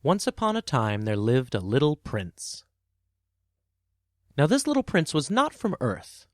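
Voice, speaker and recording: A man narrating a children's tale as a podcast storyteller, with expressive, measured and dramatic phrasing. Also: mid-range voice, no room sound, soft background music.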